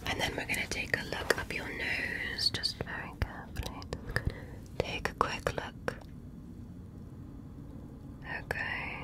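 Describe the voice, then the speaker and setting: A woman playing a polite, curious alien doctor in an ASMR medical roleplay, speaking gently and carefully in a breathy whisper with formal, reassuring phrasing. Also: takes it slowly, long pauses, natural voice. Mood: careful, focused. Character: polite, curious female alien doctor examining a human patient.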